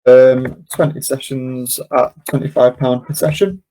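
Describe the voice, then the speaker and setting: Man, mid-pitched, dark mellow tone, webinar presenter, informal step-by-step explaining. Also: fairly even intonation, measured pace, rough recording. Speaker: software demonstrator, webinar presenter. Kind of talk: informal screen-share walkthrough.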